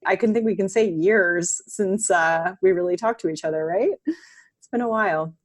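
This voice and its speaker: A woman's voice: mid-range voice, normal speed. Chatty woman podcast host. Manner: warm-hearted questions, many "like" fillers. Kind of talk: casual interview conversation.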